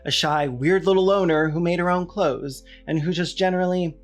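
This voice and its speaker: A man's voice: high-pitched, fairly even intonation, normal speed, faint music behind. A man hosting a scripted video essay, delivering commentary with sarcastic, wry asides.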